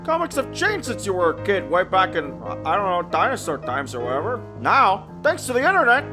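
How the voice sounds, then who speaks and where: A man voicing a goofy, easygoing young handyman who talks in enthusiastic slang, in an animated comic dub. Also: high voice, brisk, music in the background. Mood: excited, friendly.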